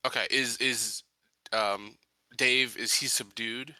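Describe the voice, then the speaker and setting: A male player in a casual tabletop game session. His voice is strikingly bright and tense, at a middle pitch. The recording is dry, with no room sound. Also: fairly even intonation, slow pace, no room sound, low-quality recording.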